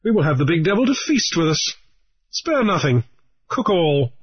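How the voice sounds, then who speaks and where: A calm, grave sea captain with a dry wit, speaking in measured tones, as a male narrator voices him. The voice is husky, a touch raised from the narration. The recording is dull and lo-fi. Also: a little high, voice pushed up.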